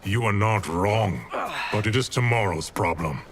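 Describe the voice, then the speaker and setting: A man's voice: lowish voice, a crowd in the background, lightly acted. A man playing a grim, haughty sorcerer in a video game cutscene, speaking formally and with cold disdain. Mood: grave, wry. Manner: formal, archaic, deliberate. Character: grim, haughty male sorcerer, coldly wrathful.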